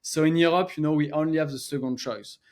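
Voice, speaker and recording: A man's voice: on the high side, steady tone. A middle-aged man from France with a French accent, a company co-founder answering podcast questions with frequent fillers and restarts. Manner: halting, many filler pauses and restarts. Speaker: co-founder and gallery guest. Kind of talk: podcast interview.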